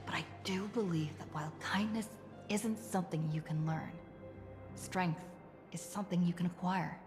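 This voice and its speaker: A woman voicing a calm, kind young heroine in a video game, sincere and measured. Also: fairly low pitch, lightly acted. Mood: sincere, encouraging. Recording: long reverb, music behind.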